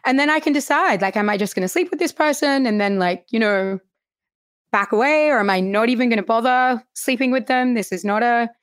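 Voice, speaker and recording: A woman hosting a casual interview, asking playful questions and quickly chiming in with agreement. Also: a little high, smooth, dry recording, clean studio sound. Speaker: podcast host.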